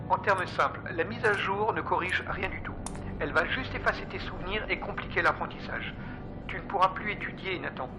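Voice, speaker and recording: A woman's voice: lowish voice, brisk, close to an everyday voice, rough recording. A woman playing an earnest, stubborn male robotics scientist in an audio drama, insistent and urgent. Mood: pleading, distressed. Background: music.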